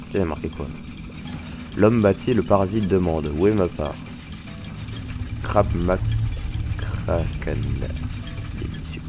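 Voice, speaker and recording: A deep-voiced man streams a video game, congested from a cold. He talks casually and haltingly, thinking aloud to his viewers. Game music plays clearly behind him. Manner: hesitant, chatty, thinking aloud. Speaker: live streamer. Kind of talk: casual gaming livestream commentary.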